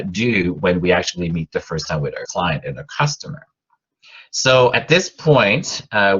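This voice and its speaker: A man's voice: lowish voice, sharp tone, dull audio. A middle-aged man from Quebec with a French-Canadian accent, French his first language, giving a college class online with many fillers and restarts. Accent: Québécois French. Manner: rambling, restarts and fillers, rising emphasis on key words. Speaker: college professor.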